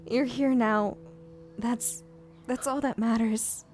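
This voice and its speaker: Loyal, protective heroine, played by a woman, fairly high voice, unhurried and earnest, audio drama with faint music. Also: fairly even intonation, darkish tone. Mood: relieved, moved. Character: loyal, protective woman swept into a frightening sci-fi adventure.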